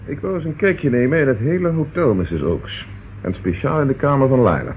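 An actor playing a decisive, businesslike police inspector with brisk authority in an old radio crime drama. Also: male voice, medium pitch, expressive, close to an everyday voice. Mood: stern, accusing. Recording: muffled, noisy old radio recording.

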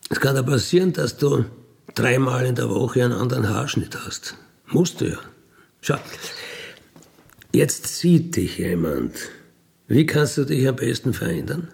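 A man's voice: mid-range voice, animated, measured pace, gravelly, long pauses, darkish tone. A man of fifty-one with an Austrian accent tells his own story in a colloquial, matter-of-fact way, often adding a questioning tag to his statements. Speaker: career criminal telling his life story. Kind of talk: unscripted personal storytelling.

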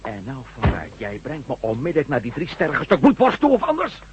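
An actor playing a brash, defiant reporter facing down soldiers in a radio drama. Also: male voice, mid-range voice, wildly swooping pitch, a little fast, a little hiss or hum. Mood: indignant. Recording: dull vintage 1970s radio recording.

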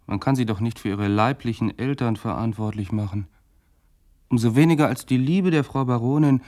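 Radio play role: reasonable, questioning male lodger played by a man, soft warm timbre, mid-range voice, formal wording. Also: medium pitch, natural voice. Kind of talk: radio drama dialogue.

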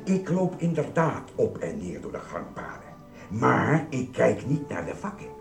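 An actor playing a grumpy, officious supermarket security guard in a radio play, brusque, suspicious and lecturing. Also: male voice, medium pitch, huge pitch swings, a little slow, creaky, exaggerated voice. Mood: indignant, distrustful. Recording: roomy echo, music behind.

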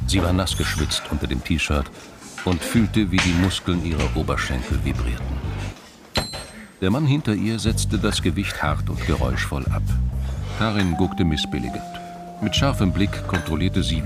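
A male actor narrating a crime radio drama in a measured, terse, dry and observant way. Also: deep voice.

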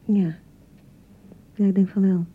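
An actress with a Dutch accent playing a young, anxious newlywed bride in a radio drama, touchy and fretful, chattering and repeating herself. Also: female voice, mid-range voice, level tone, a little slow, breathy edge, narrow, tinny audio. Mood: eager, chatty. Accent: Dutch (Netherlands). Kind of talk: naturalistic radio drama acting.

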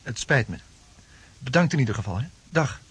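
A man's voice: medium pitch, animated, moderate pace, lightly acted. An actor in a radio drama playing a troubled, hesitant, apologetic man, on a noisy recording. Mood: anxious, insistent. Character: troubled, anxious man, ashamed and unsure of himself.

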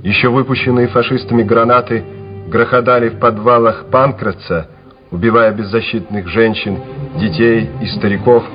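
A man's voice: medium pitch, fairly even intonation, normal speed. A man narrating a wartime radio drama in a scripted, dramatic style. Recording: slightly dull radio recording with music. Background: music.

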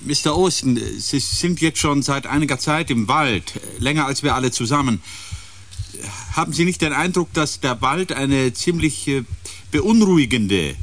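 A man playing a rational expedition scientist in a radio play, speaking in a measured, formal and polite way. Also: medium pitch, a bit bright.

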